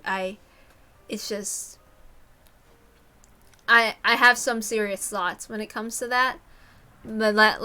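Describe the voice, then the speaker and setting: A woman's voice: fairly high pitch, bright, forceful tone. A woman reading a story aloud expressively, with casual commentary of her own. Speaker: reader and commentator.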